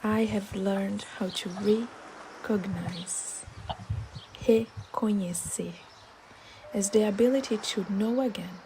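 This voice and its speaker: A woman whose first language is Portuguese, narrating a reflective voice-over slowly and softly, partly in a whisper. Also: mid-range voice, background noise. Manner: slow, soft, partly whispered. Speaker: artist narrating her video work.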